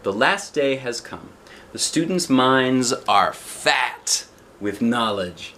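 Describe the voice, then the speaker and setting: A man's voice: mid-range voice, lively intonation, slow pace, sharp tone, a little hiss or hum. A man reading a children's picture book aloud expressively, with chatty asides and questions to the young listener. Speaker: storybook reader.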